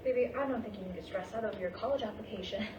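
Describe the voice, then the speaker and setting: A woman's voice: mid-range voice, rapid-fire, dull audio, close to an everyday voice. A woman playing a doting middle-aged mother in a staged play reading, insistent and motherly as she nags and reassures. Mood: consoling. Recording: echoey room, distant mic, clear hiss.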